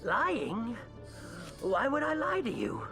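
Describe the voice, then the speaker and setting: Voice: mid-range voice, huge pitch swings, slow, full character voice. An actor playing a nervous, evasive character who stammers and turns defensive. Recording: game scene with music.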